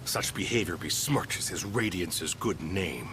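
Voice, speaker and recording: An actor playing a pompous, paranoid imperial commander in a video game, haughty and theatrical with archaic, formal diction. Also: male voice, sits low, lively intonation, a little slow, a little hiss or hum, heavily acted. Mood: indignant, suspicious. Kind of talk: dramatic video game villain acting. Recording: loud game music over voice.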